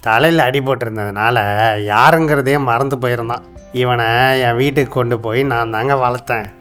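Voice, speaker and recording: A man playing a humble village hunter and adoptive father in an animated folktale, deferential, with a rural Tamil accent. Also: medium pitch, fairly quick, lightly acted. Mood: humble, earnest. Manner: deferential, colloquial village speech. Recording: music under the voice.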